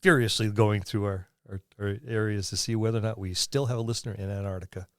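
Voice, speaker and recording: A man's voice: fairly low pitch, fairly even intonation, fast, clear, resonant tone, no room sound, studio quality. A man co-hosting a casual podcast, dropping wry, joking asides. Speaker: podcast co-host.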